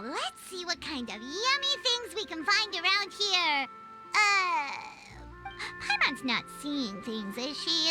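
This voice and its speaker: Loud music plays under an extremely high, squeaky little fairy girl. She is a perky, food-loving game sidekick who swings wildly up and down as she talks, childlike and excitable. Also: exaggerated voice. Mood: eager. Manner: perky, speaks of herself in third person. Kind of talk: animated video game voice acting.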